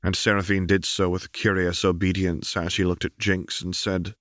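A man's voice: low voice, level tone, normal speed, fairly bright tone, dry recording, clean studio sound. A man reading fiction as a scripted audiobook narration.

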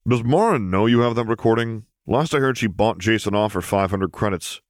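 A man voicing a cocky middle-aged smuggler with a gruff, sardonic, grumbling way of talking in an audiobook story. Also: on the low side, fairly quick, no echo, clean studio sound, voice pushed up, natural voice. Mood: suspicious needling.

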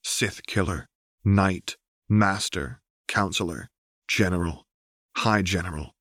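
Male audiobook narrator, low register, dry studio-tight sound, scripted fiction. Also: slow pace, a bit bright, dry recording. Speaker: audiobook reader.

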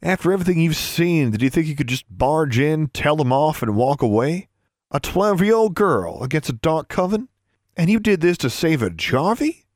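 A man voicing a calm, commanding, sardonic young male wizard in an audiobook, with a patronizing manner. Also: medium pitch, a little fast, no room sound, clean studio sound, natural voice. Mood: scolding. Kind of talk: audiobook character voice.

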